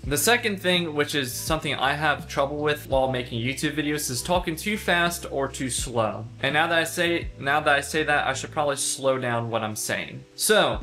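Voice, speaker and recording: A man's voice: fairly high pitch, sharp tone. A young man giving a casual, conversational instructional talk for a video, stressing his key points in an informal way. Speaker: instructor, video host and pilot.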